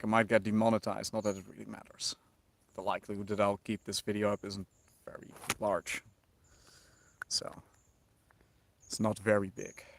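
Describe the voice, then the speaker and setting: A man's voice: fairly low pitch, normal speed, gravelly, pauses often, no echo. A man from the Netherlands with a light Dutch accent, chatting casually with viewers on a livestream and musing over their questions. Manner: relaxed, musing, reads chat aloud and answers.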